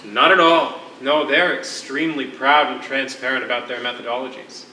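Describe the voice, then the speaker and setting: Man acting as a sardonic imprisoned writer, sharp echoey stage voice. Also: mid-range voice, fairly even intonation, normal speed. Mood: wry. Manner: wordy, formal, sardonic. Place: on a stage in a hall. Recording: echoey theatre stage, distant mic, faint noise.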